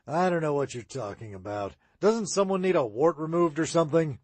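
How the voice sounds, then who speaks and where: A man's voice: on the high side, expressive, fairly quick, no room sound. A man reading aloud and voicing a kindly grown uncle who talks in a folksy way and brushes off teasing.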